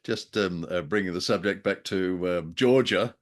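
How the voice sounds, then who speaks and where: A man's voice: sits low, moderate pace. A man co-hosting a casual review chat, speaking in a wordy, wry, hesitant way. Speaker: co-host of a radio drama review show.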